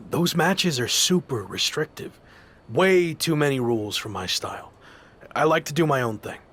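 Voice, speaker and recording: An actor playing a reluctant, headstrong pro wrestler, grudging and sardonic, in a video game documentary cutscene. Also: male voice, on the high side, expressive, unhurried, a bit bright. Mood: defensive, wry.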